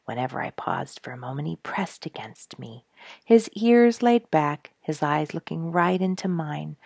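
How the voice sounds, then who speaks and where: A woman reading a story aloud as a host, in measured, expressive storytelling phrases. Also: low-pitched, gravelly, no room sound, clean studio sound. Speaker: story reader, show host. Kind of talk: scripted storybook narration read aloud.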